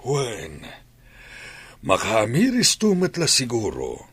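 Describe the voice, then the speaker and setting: A man's voice: medium pitch, wildly swooping pitch, slow, a bit bright, slightly rough, no room sound, full character voice. A man with an Ilocano accent playing an older, practical father who reasons firmly, in an audio drama. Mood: reflective. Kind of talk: Ilocano radio drama acting.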